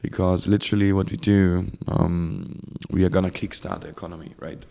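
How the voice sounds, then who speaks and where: A man's voice: sits low, fairly even intonation, normal speed, gravelly, warm tone. A German man speaking English with a German accent, as a guest in a casual interview, rambling and searching for words. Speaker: guest, community founder.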